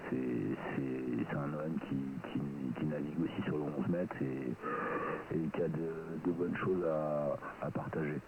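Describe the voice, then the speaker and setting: A man's voice: very deep voice, fairly even intonation, measured pace, over music. A man chatting casually over amateur radio with a hesitant, rambling delivery. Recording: very noisy radio transmission.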